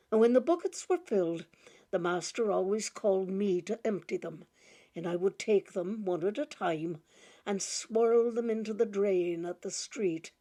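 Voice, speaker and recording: A woman gently reading a short story aloud, often breaking into soft laughter. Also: mid-range voice.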